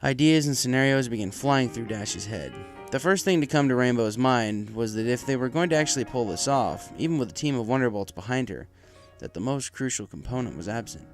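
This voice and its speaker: A man's voice: medium pitch, expressive, brisk, hardly any pauses. A man reading a fan fiction story aloud as a scripted narration. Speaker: story reader. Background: music.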